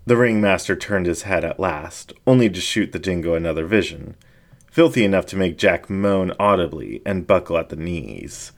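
A man reading a story aloud as narrator, with expressive scripted delivery. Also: deep voice, moderate pace.

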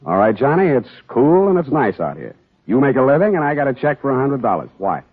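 An actor playing a cocky, sardonic hard-boiled private detective in a 1940s radio drama. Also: male voice, medium pitch, very animated, brisk, slightly rough, narrow, tinny audio. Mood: dry, wisecracking. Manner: sardonic, wisecracking. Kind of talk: 1940s radio acting and first-person narration. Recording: band-limited 1940s radio broadcast with music.

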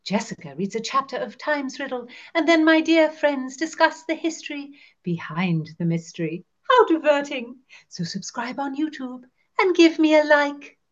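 A woman playing a haughty, playful Tudor gentlewoman who speaks in an arch, theatrical courtly manner for a podcast. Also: high-pitched, animated, normal speed, few pauses, highs cut off, voice pushed up. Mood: cheery. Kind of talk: in-character podcast monologue.

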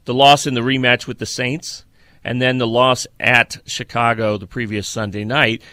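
A middle-aged man hosting a football podcast, talking through sports analysis in a measured, detailed, conversational way. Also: mid-range voice, little pitch movement, bright, forceful tone, no room sound, clean studio sound.

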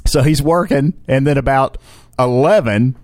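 A man chatting casually as a podcast co-host, jokey and quick to chuckle. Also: mid-range voice, darkish tone, dry recording, clean studio sound. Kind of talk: casual chat and banter.

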